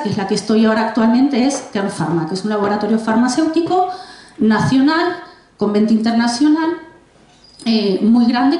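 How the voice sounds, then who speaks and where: A woman's voice: fairly high pitch, dark tone. A woman in her forties from Argentina, presenting her first book to an audience in a library, chatty with frequent restarts and asides. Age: 40s. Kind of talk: public book presentation talk. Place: public library room with audience. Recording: very echoey library room.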